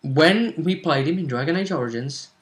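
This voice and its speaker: A man's voice: fairly high pitch, expressive, moderate pace. A man hosting a role-play vidcast, talking casually through previews of upcoming matches.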